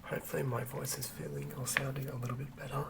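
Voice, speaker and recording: An ASMR host speaking in a soft, breathy whisper, gently and quietly sharing tabletop fantasy material. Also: medium pitch, flat delivery, fast talker, very noisy. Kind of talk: whispered ASMR, chatting and reading aloud from a game manual.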